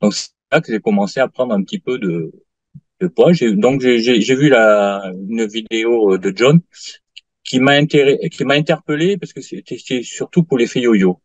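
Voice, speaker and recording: A man in his late fifties giving a halting testimonial in an interview, full of fillers and restarts. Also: medium pitch, no echo. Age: 50s. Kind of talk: hesitant interview answers. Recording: dull lo-fi dry sound.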